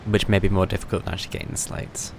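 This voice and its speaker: A man's voice: deep voice, flat delivery, very fast, creaky, background noise. A British man giving casual live game commentary, muttering his thoughts aloud with dry understatement. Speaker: game livestreamer. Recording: game music behind the voice. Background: video game music.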